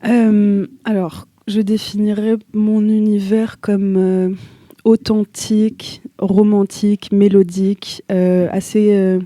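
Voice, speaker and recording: A young woman from Italy, a singer, chats loosely in an interview. Her voice is very dark and mellow, with a flat, even melody. The sound is close and dry. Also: mid-range voice, slow pace, dry recording. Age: young adult. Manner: relaxed, slangy, searching for words. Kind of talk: casual interview chat.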